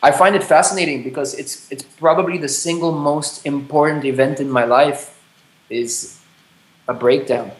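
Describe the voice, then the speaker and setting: A man's voice: on the high side, fairly even intonation, normal speed. A man in his late thirties in a candid conversation, speaking thoughtfully with frequent restarts and casual swearing. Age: 30s. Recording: roomy echo.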